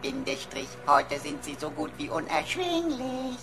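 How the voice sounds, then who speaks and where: A woman's voice: sits high, very animated, unhurried, over music, exaggerated voice. A woman playing a flippant talking pocket computer in a radio drama, showing off as a mock-pompous know-it-all.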